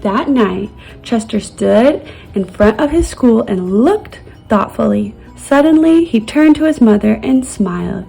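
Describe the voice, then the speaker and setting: A woman reads a children's story gently, as a teacher would to her young pupils. Her voice is fairly high and mellow, with husky creak, over soft music. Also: animated, a little slow, rough recording. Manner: gentle, child-directed, soothing. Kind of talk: children's storybook read-aloud.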